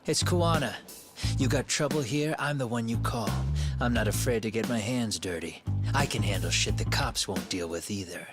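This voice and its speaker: A cocky street fixer from a video game cutscene, acted by a man. He talks in slang with a taunting swagger, like someone who owns the turf. Very loud music plays over his voice. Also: on the low side, moderate pace, close to an everyday voice. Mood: swaggering, demanding. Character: cocky, streetwise male handyman, tough fixer.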